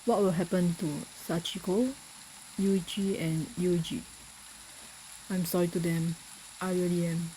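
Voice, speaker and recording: Female gamer, lowish dark soft-edged voice, casual read-aloud delivery, faint noise behind. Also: steady tone, a little slow, pauses often, low-quality recording. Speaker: gamer playing on a recorded session. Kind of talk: casual reading aloud and play commentary.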